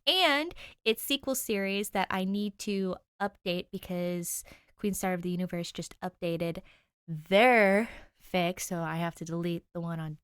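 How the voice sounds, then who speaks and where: A woman's voice: on the low side, unhurried, a bit bright, smooth, no room sound, studio quality. A young adult woman reading fiction aloud and chatting informally as host of her reading channel. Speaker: podfic reader and channel host. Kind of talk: story narration and chatty sign-off.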